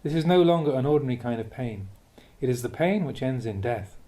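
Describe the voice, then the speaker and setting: A man reading a Buddhist Dhamma talk aloud as a monk, in a measured, expressive way with emphatic stresses. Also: medium pitch.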